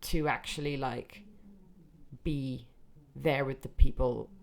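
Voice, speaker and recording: A Dutch comedian with an American-tinged accent, talking in a relaxed, rambling way and trailing off softly at the ends of thoughts. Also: female voice, very deep voice, takes it slowly, vocal fry. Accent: American-tinged English. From Netherlands. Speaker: guest comedian. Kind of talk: casual podcast chat.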